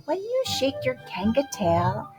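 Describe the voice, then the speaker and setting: A woman reading a rhyming children's picture book aloud in a playful, expressive way, drawing out words for dramatic emphasis. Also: high-pitched, unhurried. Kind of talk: animated rhyming storybook read-aloud for young children. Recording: music behind the voice. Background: music.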